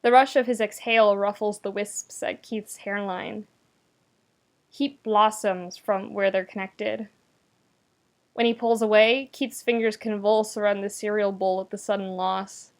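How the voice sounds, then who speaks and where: A woman reading a story aloud as narrator, with expressive, wry delivery and dramatic emphasis. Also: mid-range voice, measured pace. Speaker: podfic narrator. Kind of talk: expressive scripted fiction narration.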